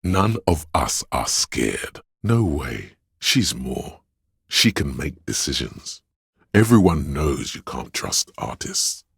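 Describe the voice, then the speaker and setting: Game role: a fawning, courtly spirit of theatrical deceit, extremely deep and very husky, grand and mannered. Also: male voice, very animated, takes it slowly, no room sound, clean studio sound, heavily acted. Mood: scornful. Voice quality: gravelly, husky. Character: theatrical, sycophantic inner voice of drama and deceit. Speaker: video game voice actor. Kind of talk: theatrical game character narration.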